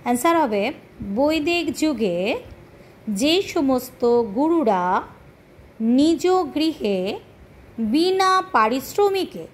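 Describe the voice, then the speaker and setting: A woman teaching a question-and-answer lesson, pausing deliberately between short phrases as if dictating to pupils. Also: sits high, lively intonation, a little hiss or hum. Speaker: teacher presenting a history lesson.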